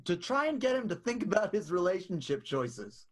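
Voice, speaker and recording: Husky, animated vaudeville schemer played by an actor. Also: medium pitch, normal speed. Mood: earnest, persuading. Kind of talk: improvised radio soap opera acting.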